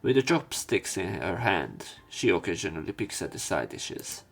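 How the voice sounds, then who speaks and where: A man with a fairly low voice reads a visual novel aloud as a let's play. He voices both narration and dialogue lines himself. Game music plays quietly behind him. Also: very animated, normal speed, creaky, breathy edge.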